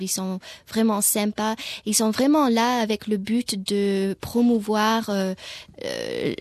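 A woman's voice: mid-range voice, dry recording. French-speaking woman with an Australian accent. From France. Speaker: festival organizer. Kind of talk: radio interview. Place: radio studio.

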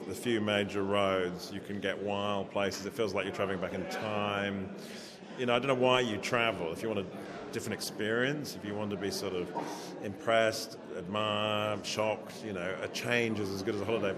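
A middle-aged man with a lowish voice chats casually with plenty of fillers, telling rambling anecdotes. Behind him a conference crowd murmurs clearly. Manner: chatty, anecdotal, many fillers. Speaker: interviewee. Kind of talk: casual chat.

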